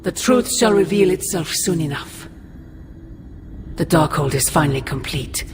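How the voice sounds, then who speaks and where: A woman playing an ancient demonic sorceress mother in a game cutscene, menacing and mocking, with a grandiose, deliberate delivery. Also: sits low, background noise. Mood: ominous. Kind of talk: dramatic video game cutscene acting. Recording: long cavernous reverb under loud music.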